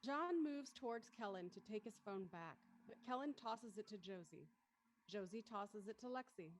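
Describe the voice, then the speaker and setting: A woman's voice: mid-range voice, expressive, normal speed, a little hiss or hum. A woman reading stage directions as the narrator of a scripted drama.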